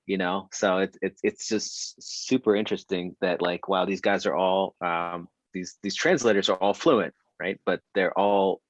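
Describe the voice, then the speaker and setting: A man's voice: low voice, no echo. A man talking hesitantly and eagerly in a casual group discussion, full of fillers and restarts. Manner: hesitant, many fillers, enthusiastic. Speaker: reading group participant. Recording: dull lo-fi dry sound.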